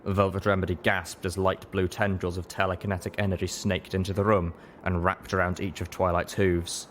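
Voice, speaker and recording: A man reading a fan-fiction story aloud in steady, earnest narration. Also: deep voice, fairly quick, slight background noise. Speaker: audiobook reader.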